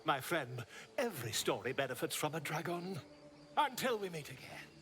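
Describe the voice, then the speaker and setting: Flamboyant, high-voiced scholarly bard. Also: male voice, big pitch swings, moderate pace, bright, forceful tone. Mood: pompous, intrigued. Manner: theatrical, verbose, pompous. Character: flamboyant, curious male bard and self-styled scholar. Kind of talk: video game character acting.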